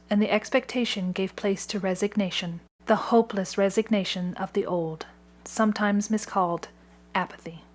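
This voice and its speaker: A woman narrator reading a scripted story in a dramatic literary style. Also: mid-range voice.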